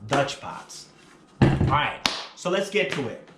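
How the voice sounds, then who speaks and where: A man's voice: medium pitch, animated, takes it slowly, heavy background noise. Chatty Jamaican man in an echoey room. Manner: chatty, storytelling, addresses viewers directly. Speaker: cooking vlogger. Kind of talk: casual cooking show chat. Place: small apartment living room, then home kitchen.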